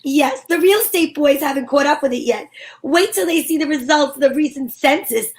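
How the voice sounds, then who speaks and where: A woman's voice: high voice, brisk. A woman playing a breezy female private investigator in an amateur reading of an old-time radio play.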